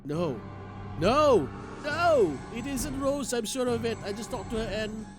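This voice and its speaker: High-voiced male game streamer reading dramatically. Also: very animated, a little slow. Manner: dramatic, reactive. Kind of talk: live playthrough, reading game text aloud with reactions. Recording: guitar game music behind the voice.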